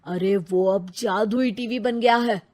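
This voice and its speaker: A woman's voice: medium pitch, a little slow, a little mellow, natural voice. A woman voicing a laid-back elder brother. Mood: casual. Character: elder brother Rohit, easygoing family man.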